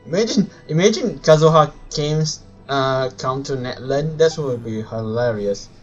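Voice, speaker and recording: A male livestreamer speaks at a middling pitch, muttering and exclaiming as he plays. He repeats himself excitedly in a casual way. The microphone sounds dull and lo-fi, with faint music behind him. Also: unhurried. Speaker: live streamer playing a video game. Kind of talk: casual game livestream reaction. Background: faint game music.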